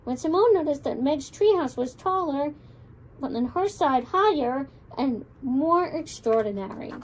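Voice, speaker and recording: A woman children's librarian reading a picture book aloud with expressive, lilting storytelling. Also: high voice, unhurried, slight background noise, rough recording.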